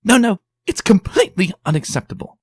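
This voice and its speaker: A man's voice: high voice, very animated, brisk, darkish tone, husky, dry recording, clean studio sound, pitched above the performer's own voice, heavily acted. A man doing a dramatic, refined pony lady. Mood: gushing. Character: fussy, theatrical young adult woman unicorn.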